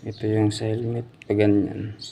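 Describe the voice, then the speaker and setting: A man with a Filipino accent casually showing items in an unboxing vlog, haltingly searching for words. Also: lowish voice, completely flat delivery. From Philippines. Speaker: DIY and motovlog host. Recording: clearly noisy home-made vlog audio.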